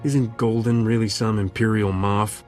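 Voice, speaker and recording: An actor playing a roguish, dry and sardonic smuggler captain in a video game. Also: male voice, on the low side, level tone, moderate pace, darkish tone, soft background music, close to an everyday voice. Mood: wry.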